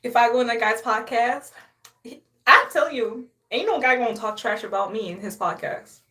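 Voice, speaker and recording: A young woman from Haiti hosts her own live stream and chats in a relaxed, unscripted way. Her voice sits a little higher than most women's. She sounds sassy and boastful, playfully hyping herself up and breaking into laughs often. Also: moderate pace. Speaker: livestreamer. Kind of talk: casual live-stream chat.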